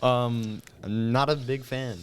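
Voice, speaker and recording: A teenage male high school senior talking casually as a guest. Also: fairly even intonation, takes it slowly. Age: teens. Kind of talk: casual chat.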